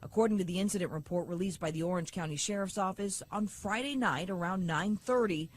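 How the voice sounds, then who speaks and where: A woman reporting a TV news story in measured, scripted delivery. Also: fairly low pitch.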